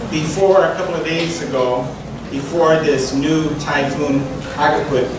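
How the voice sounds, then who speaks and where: A man with a slightly higher voice speaks in a measured, emphatic way, stacking repeated phrases as he builds his point. He is heard from a distance in a large, echoing hall. Clear background noise runs under his words. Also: fairly even intonation. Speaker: relief charity representative. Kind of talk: speech to a concert audience. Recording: echoey hall, distant mic, noisy.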